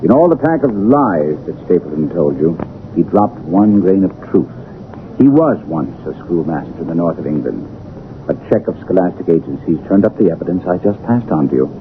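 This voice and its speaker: A tinny old radio broadcast with faint hiss carries a commanding detective. His low voice swoops theatrically, precise and sure of itself. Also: sing-song, normal speed. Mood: grave, assured. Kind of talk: 1970s radio drama acting. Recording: muffled, narrow-band vintage radio, faint hiss.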